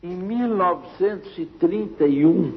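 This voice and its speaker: Slow, gravelly older Brazilian man. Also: high voice. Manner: halting, deliberate, pausing mid-phrase. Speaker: interviewee, radio pioneer. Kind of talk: spoken reminiscence. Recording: dull archival interview with background noise.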